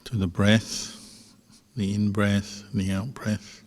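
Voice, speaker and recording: A man, a Buddhist monk, guiding a meditation calmly and without hurry, with a husky voice and long pauses between short phrases. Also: on the low side. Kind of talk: guided meditation instruction. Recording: reverberant hall, long echo.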